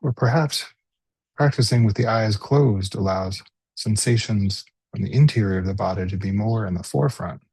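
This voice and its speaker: A man guides a body scan in a lowish, breathy-husky voice. He speaks gently, leaving room between phrases like an unhurried meditation teacher. The sound is dry, with very little room around it. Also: no echo, low-quality recording.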